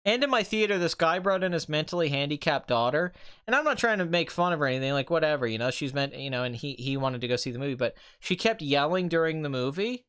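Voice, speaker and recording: A man's voice: on the high side, expressive, fast, a bit bright, clear, resonant tone. A man hosting a casual, rambling live stream as a film commentator, his voice a little strained from hours of talking. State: voice tiring and strained after two hours of talking.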